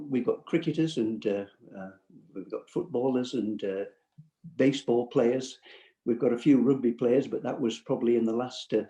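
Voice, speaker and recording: A male host introduces a guest and asks questions, hesitating often with fillers. His voice is dark and mellow, and it sounds roomy and echoey. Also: mid-range voice. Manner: hesitant, many fillers, friendly. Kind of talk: interview hosting. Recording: echoey room.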